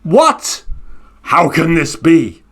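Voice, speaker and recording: A mighty, boastful giant bird, acted by a male storyteller, brags in a slow, drawn-out voice. The pitch still rises and falls as he declares his own power. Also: very animated, a bit bright, full character voice. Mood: indignant. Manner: proud, slow and booming.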